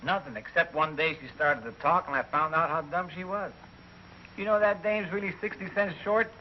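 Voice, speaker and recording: An actor playing an irritable, wisecracking army first sergeant, impatient and sarcastic, in a mid-century film comedy. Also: male voice, a little high, moderate pace, close to an everyday voice. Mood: wry storytelling. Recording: dull old film soundtrack, faint noise.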